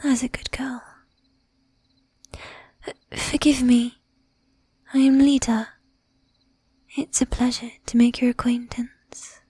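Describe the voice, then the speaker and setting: Woman whispering as a breathy, flirtatious lady vampire. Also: on the high side, little pitch movement, mellow, dry recording, exaggerated voice. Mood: coy concern, then polite, teasing. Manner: coaxing, affected old-fashioned formality, drawn-out teasing. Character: bossy, flirtatious, predatory lady vampire, sweetly menacing. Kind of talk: whispered ASMR roleplay. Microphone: close ASMR microphone.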